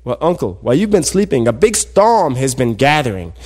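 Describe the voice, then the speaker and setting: A man's voice: mid-range voice, sing-song, fairly quick, a little mellow, pitched below the performer's own voice. A man voicing a sly trickster nephew in a folktale, cool and persuasive as he makes exaggerated claims matter-of-factly. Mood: urgent warning. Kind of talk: animated folktale storytelling.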